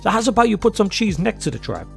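Man voicing bargaining parrot go-between, high and pushy. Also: very animated, quick pace, gravelly, faint music behind, full character voice. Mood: wheedling. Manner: wheedling, bargaining. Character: fast-talking male parrot go-between.

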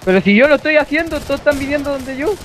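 Fast, high-pitched young Chilean man. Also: fairly bright tone, slight background noise. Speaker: gamer on voice chat. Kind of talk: casual chat during online gaming.

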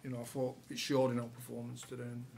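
A man, a rugby coach answering questions at a post-match press conference with relaxed, halting answers full of fillers. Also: mid-range voice, gravelly, a little hiss or hum. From England. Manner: relaxed, many fillers and restarts. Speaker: rugby league head coach. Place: press room with sponsor board behind.

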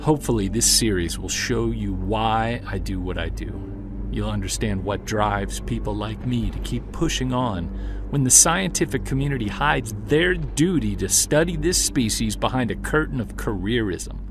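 Guy acting as obsessed bigfoot hunter in an audio drama, earnest, deepish voice with fry, clear music behind. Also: lively intonation, moderate pace. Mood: defiant, determined. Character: driven, defiant male Bigfoot hunter recording a journal. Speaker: investigator narrating his audio journal. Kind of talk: audio drama confessional narration.

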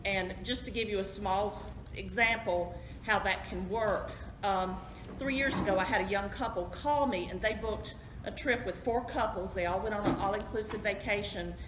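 A woman's voice: mid-range voice, moderate pace. A middle-aged woman, a travel agent, giving a friendly, self-deprecating talk to an audience in a hotel meeting room. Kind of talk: informal presentation to an audience. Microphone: far camera, no mic. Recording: echoey room, noisy.

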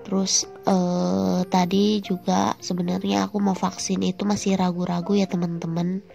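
A young woman with a stuffy, nasal cold voice narrates her home vlog, chatty and speaking straight to her viewers. Her tone is low, gravelly and mellow, with little rise and fall in pitch. Soft music plays under her. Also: little pitch movement, normal speed. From Indonesia. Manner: chatty, friendly, addresses viewers directly. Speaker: vlogger and housewife. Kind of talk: casual voice-over vlog narration.

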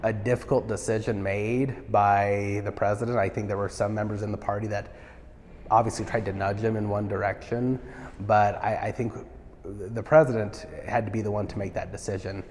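A man's voice: lowish voice, flat delivery, darkish tone, a little hiss or hum. Earnest man in a reverberant hall. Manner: earnest, measured, many "uh" fillers. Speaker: party official interviewee. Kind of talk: interview answers. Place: large marble stairwell indoors. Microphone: lapel.

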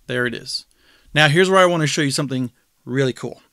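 A man's voice: mid-range voice, fairly quick, no room sound, studio quality. Casual man talking through a screen demo. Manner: relaxed and chatty, thinking aloud with fillers and small laughs. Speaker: screencast tutorial host. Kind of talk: casual screen-recorded software walkthrough.

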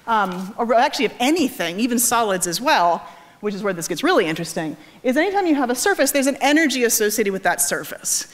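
A woman in her thirties with a New England American accent gives an informal lecture in an animated way, often restarting her sentences. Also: a little high. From New England, United States. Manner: animated explaining, self-correcting with frequent false starts. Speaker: physics professor giving a lecture. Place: large auditorium stage.

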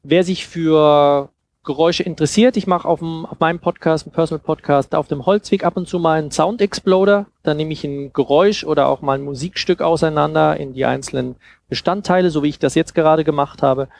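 A man in his thirties with a fairly high, gravelly voice speaks informally to an audience. He explains things in an easygoing talk style, picked up by a headset microphone. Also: brisk, darkish tone. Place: small dim lounge room at a festival.

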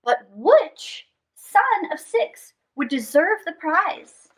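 A middle-aged woman hosting a children's story time, reading and chatting in an animated, theatrical way. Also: very high-pitched, very slow. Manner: animated, theatrical, with dramatic gasps and stretched words. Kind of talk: expressive picture-book read-aloud and chat to children. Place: small indoor space with draped fabric backdrop.

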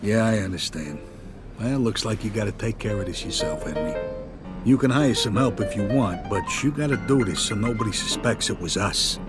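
An actor playing a middle-aged American mob underboss, friendly but calculating, with casual streetwise tough talk in a crime game. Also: male voice, fairly low pitch, expressive, moderate pace, loud music behind the voice, lightly acted. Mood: scheming. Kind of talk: cinematic crime game dialogue.

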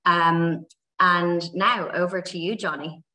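A woman's voice: lowish voice, steady tone, slow pace, pushed and bright, recorded from a distance. A young woman hosting a business webinar and handing over to the next speaker. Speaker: webinar host. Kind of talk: webinar introduction. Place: home office, video call.

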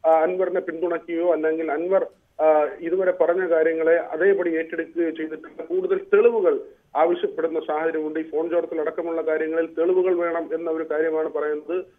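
A man giving a live political report over the phone, halting with frequent filled pauses. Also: on the high side, little pitch movement. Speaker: news reporter. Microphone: phone call. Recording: tinny narrow phone line.

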